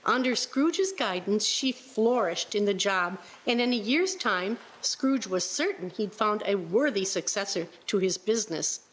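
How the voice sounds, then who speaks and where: A woman's voice: medium pitch, lively intonation, unhurried, hardly any pauses, a bit bright, a little hiss or hum, rough recording. A woman reading scripted story narration for a live radio play.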